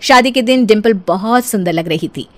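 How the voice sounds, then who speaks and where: A woman's voice: mid-range voice, normal speed. A woman narrating a scripted comic cartoon story as its storyteller.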